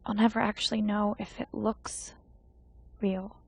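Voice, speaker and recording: A woman's voice: medium pitch, flat delivery, measured pace, creaky, slightly rough, no room sound. A woman voicing a melancholy female AI in an emotionless, precise game cutscene reading. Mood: detached, wistful. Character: melancholy female AI reasoning about feelings.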